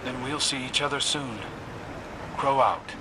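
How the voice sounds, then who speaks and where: An actor playing a friendly, watchful young scout in a video game, with a casual, wry manner. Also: male voice, mid-range voice, fairly even intonation, measured pace, background noise, close to an everyday voice. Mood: wry warning. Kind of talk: video game radio dialogue. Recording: loud music behind the voice. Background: loud music, game sounds.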